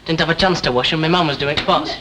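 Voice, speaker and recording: An actor playing an easygoing young working-class lad with a Northern English accent in a 1960 television drama. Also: male voice, a little high, fast talker, sharp tone. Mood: friendly. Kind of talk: 1960s British television drama acting. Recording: noisy 1960 television soundtrack.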